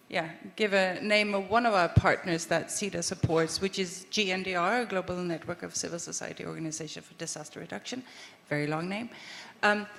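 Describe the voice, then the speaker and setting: Woman panelist, grew up in Sweden, halting and self-correcting, reverberant hall mic. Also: medium pitch, a bit bright. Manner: thinking aloud, with many ums, restarts and sighs. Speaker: panelist from a government aid agency. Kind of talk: panel discussion answer.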